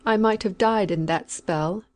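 A woman doing a dying old country woman in a storybook, slow and drawn-out, talking in a rough rustic way. she sounds sinful and scared of the dark, and she gives orders like she is used to being obeyed. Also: medium pitch. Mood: sullen, imperious. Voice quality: rustic dialect, anguished pleading.

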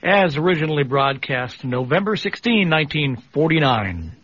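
Male radio co-host, bright and slightly high, casual delivery, slightly dull broadcast sound. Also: sing-song, normal speed, slightly rough, no echo. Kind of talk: casual live radio chat and announcing.

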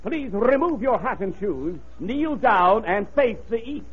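A man's voice: high-pitched, big pitch swings, measured pace, very noisy. A man playing an officious, pompous business manager who speaks formally in an old radio comedy. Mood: pompous, commanding. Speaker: comic actor. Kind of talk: 1940s radio comedy acting. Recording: muffled old radio recording.

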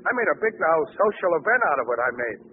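A man playing a chatty, pushy, excitable comic cab driver with folksy, muddled phrasing, in 1940s radio acting. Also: on the high side, very fast, distant mic, a little hiss or hum. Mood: boastful, chatty. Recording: tinny old radio recording.

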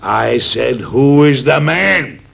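A man's voice: mid-range voice, expressive, slow pace, creaky, fairly bright tone, breathy edge, full character voice. An actor playing an exasperated, blustering father and president, with sarcastic, indignant questioning, in old-time radio drama style. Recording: dull, noisy old radio recording.

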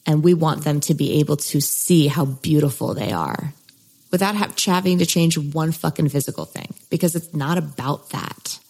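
A woman's voice: deep voice, normal speed, vocal fry, darkish tone, breathy edge. A woman hosting a podcast, interviewing a guest in an enthusiastic, casual way. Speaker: podcast host.

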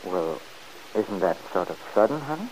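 An actor playing a loyal, plain-spoken farmhand friend in a 1940s radio drama, folksy and hesitant. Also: male voice, low voice, big pitch swings, normal speed, a little mellow, a little hiss or hum, low-quality recording.

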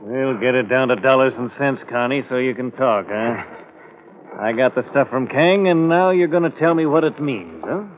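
An actor playing an impatient gangster boss in a vintage radio crime drama, barking orders in tough, clipped slang. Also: male voice, medium pitch, normal speed, lightly acted. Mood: smug menace. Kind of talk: 1940s-50s radio crime drama acting. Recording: narrow, slightly noisy old radio recording.

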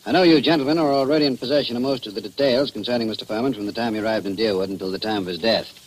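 An actor playing an unruffled, laconic police chief in old radio drama style. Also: male voice, medium pitch, racing, close to an everyday voice. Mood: polite, businesslike. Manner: laconic, dry. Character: unruffled, wry male small-town police chief. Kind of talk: 1940s radio drama acting. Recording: noisy old radio broadcast recording.

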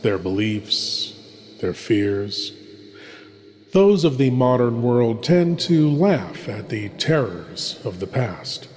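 Slow ominous male horror host, cavernous reverb. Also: on the low side, darkish tone, slight background noise. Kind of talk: theatrical scripted horror introduction. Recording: long cavernous reverb, music behind.

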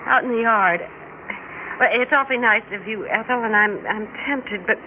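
A woman's voice: a little high, moderate pace, close to an everyday voice. An American actress playing a wry suburban housewife and mother with second sight in an old-time radio drama, telling her tale conversationally. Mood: friendly chat. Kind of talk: old-time radio drama acting and first-person narration. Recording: noisy, narrow-band vintage radio recording.

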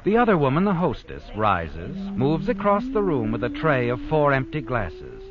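A man's voice: mid-range voice, wildly swooping pitch, normal speed, crowd noise. An actor playing an ominous, all-knowing mystery narrator who tells his tale in a brooding, dramatic 1940s radio style. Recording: dull old radio recording with prominent music.

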